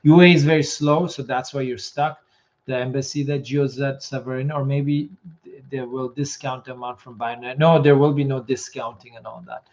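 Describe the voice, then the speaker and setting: A hoarse man runs a live question session, reading out viewer comments and answering each one quickly and plainly. His voice sits at an ordinary middle pitch. The sound is dull and lo-fi, like a streamed call. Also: steady tone, darkish tone. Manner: quick, matter-of-fact answers, reads comments aloud. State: losing his voice. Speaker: immigration consultant host.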